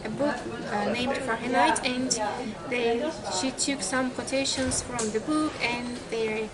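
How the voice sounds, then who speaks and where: A woman describes lessons she observed, her voice a touch high. She pauses often and searches for words. A dense wall of crowd chatter surrounds her. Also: steady tone. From Turkey. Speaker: English teacher. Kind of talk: interview answers. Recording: very loud crowd chatter behind.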